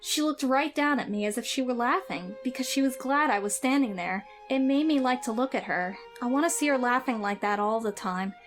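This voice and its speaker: Woman voicing a high-pitched kid getting well, super eager. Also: a little fast, few pauses, soft background music, natural voice. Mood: earnest. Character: sickly boy recovering, eager and wondering. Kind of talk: amateur audio drama acting.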